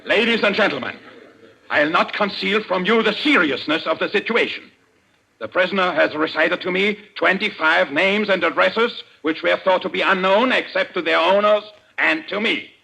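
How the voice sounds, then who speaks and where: Gravelly, commanding crime-society boss. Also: male voice, high voice, normal speed, bright, forceful tone, full character voice, low-quality recording. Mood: grave, formal. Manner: formal, authoritative, theatrical. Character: commanding, coldly menacing male president of a criminal society. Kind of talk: old-time radio suspense acting.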